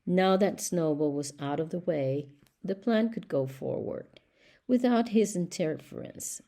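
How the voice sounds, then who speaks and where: A woman reading a storybook aloud as scripted narration, with measured, deliberate phrasing. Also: lowish voice, mellow. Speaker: audiobook reader.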